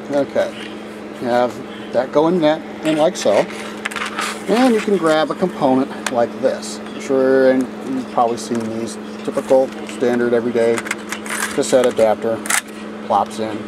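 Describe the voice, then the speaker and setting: A man talks through a simple do-it-yourself setup in a casual, folksy way, stopping for fillers and restarting sentences. His voice sits at an ordinary middle pitch. It comes through noisy camcorder audio with a steady hum underneath. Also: unhurried, long pauses. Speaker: DIY video maker. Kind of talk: casual how-to demonstration. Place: inside a motor home at night.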